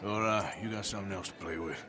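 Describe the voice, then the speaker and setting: A man playing a gruff middle-aged outlaw who talks in a dry, teasing way. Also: lowish voice, fast talker, a crowd in the background, natural voice. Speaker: card player and robber.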